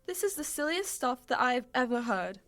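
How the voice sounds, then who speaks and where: A woman's voice: high-pitched, very animated, a little fast, a bit bright, dry recording. A young woman playing a bored, scornful noblewoman in a radio play of Shakespeare, dry and dismissive.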